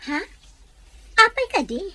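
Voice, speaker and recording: Woman doing really high girlish voice, caring careful best friend, ilocano radio drama. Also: sing-song, a little slow, sharp tone, slight background noise, full character voice, rough recording. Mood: guarded. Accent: Ilocano Filipino. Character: young female friend, concerned and careful confidante.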